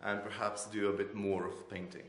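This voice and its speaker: Low-voiced Croatian man, echoey room. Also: little pitch movement, normal speed. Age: 40s. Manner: hesitant, searching for words. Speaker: artist being interviewed. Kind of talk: unscripted interview answers. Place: indoors in an art gallery room.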